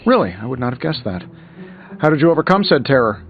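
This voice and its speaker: An actor playing a cocky superhero inventor in a video game, sarcastic and quippy. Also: male voice, mid-range voice, big pitch swings, fast. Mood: wry. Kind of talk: video game character dialogue. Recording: slightly muffled, music behind.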